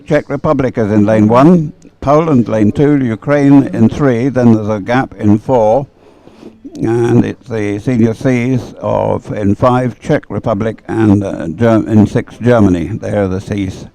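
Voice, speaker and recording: A man's voice: lowish voice, unhurried, vocal fry, dark tone, rough recording. A man giving live, off-the-cuff race commentary in a conversational, matter-of-fact way.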